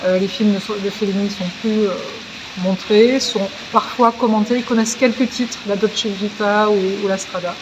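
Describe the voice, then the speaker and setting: University lecturer talking over background noise. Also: female voice, mid-range voice, steady tone, normal speed. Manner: hesitant, searching for words. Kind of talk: interview.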